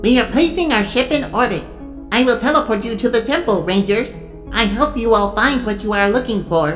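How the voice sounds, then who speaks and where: A woman playing a calm, helpful spaceship crew member in an audio drama, speaking formally and precisely. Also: a little high, brisk, soft background music, lightly acted.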